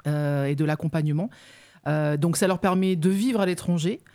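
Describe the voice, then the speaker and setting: A woman's voice: low-pitched, moderate pace, warm tone. Hesitant woman explaining a program. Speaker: youth mobility officer, guest. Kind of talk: explaining in an interview.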